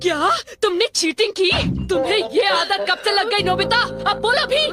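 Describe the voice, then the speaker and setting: A woman playing a quick-tempered mother in a Hindi cartoon dub, exclamatory and expressive. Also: very high voice. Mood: shocked, angry. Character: stern, quick-tempered cartoon mother, doting when calm. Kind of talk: Hindi-dubbed cartoon acting. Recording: loud music under the voice.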